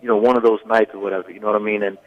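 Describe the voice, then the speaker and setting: A man's voice: fairly low pitch, level tone, racing, dull audio. A young man whose first language is Swedish, talking casually as a singer guest in a radio interview, full of "you know" fillers. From Sweden. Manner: laid-back, many fillers like "you know".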